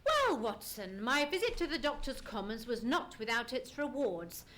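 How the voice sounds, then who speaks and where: A woman playing a shrewd, assured gentleman detective, formal and precise, in a live radio play. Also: fairly high pitch, very animated, moderate pace, slight background noise. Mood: brisk, serious. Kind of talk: live old-time radio play acting.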